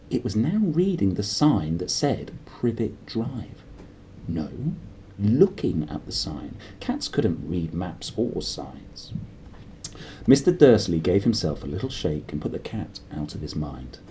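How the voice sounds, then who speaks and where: A man's voice: on the low side, a little hiss or hum. A man hosting a read-aloud of a children's novel, speaking expressively with dramatic emphasis, as if straight to the listener. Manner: animated, dramatic emphasis, addresses the listener directly. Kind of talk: expressive storybook reading with chatty intro.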